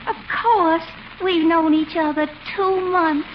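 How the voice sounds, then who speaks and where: Coquettish, cooing sweetheart for 1940s radio comedy; very unhurried, high delivery on a dull recording. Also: female voice, steady tone, a little hiss or hum. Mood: coyly chiding. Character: coy, flattering sweetheart being courted on a porch.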